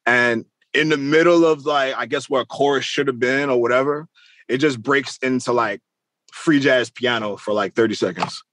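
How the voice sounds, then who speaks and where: A man's voice: mid-range voice, normal speed, fairly bright tone, no echo. Casual New man with a dry sound. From Queens, New York, United States. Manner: slangy, profane, loose and conversational. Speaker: interview guest, rap musician. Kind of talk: casual interview chat.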